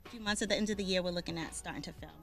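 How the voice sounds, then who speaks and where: A woman's voice: lowish voice, very fast, creaky, fairly bright tone, soft background music. A woman from Boston, a guest on the show, talking casually with lots of ums and restarts. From Boston, Massachusetts. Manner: chatty, lots of ums and restarts, laughs easily. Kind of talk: casual interview talk.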